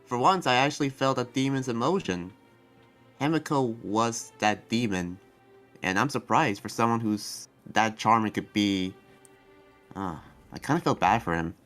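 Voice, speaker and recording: A man playing an earnest, soft-hearted demon researcher in an improvised anime roleplay, speaking in a halting, rambling way. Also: medium pitch, lightly acted. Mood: earnest, explaining. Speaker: roleplay player. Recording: background music under the voice.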